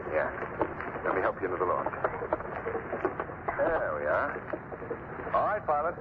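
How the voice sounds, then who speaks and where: An actor playing an assured, reassuring gentleman traveler in an old radio drama. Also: fairly low pitch, big pitch swings, unhurried. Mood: calm. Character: confident young gentleman traveler. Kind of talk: 1930s radio acting. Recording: tinny, hissy old radio broadcast.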